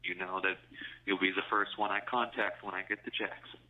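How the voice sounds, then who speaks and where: Casting: male inmate, lowish voice, halting flirty phone chat on a dull jail line. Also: fairly even intonation. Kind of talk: intimate casual phone chat.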